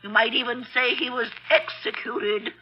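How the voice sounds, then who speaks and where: A woman's voice: a little high, fairly even intonation, moderate pace, heavy background noise, natural voice. A woman playing a shrewd elderly spinster who patiently and precisely explains a crime in a 1940s radio mystery. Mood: grave, certain. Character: shrewd, composed elderly spinster sleuth, retired physics teacher. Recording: muffled old-time radio recording.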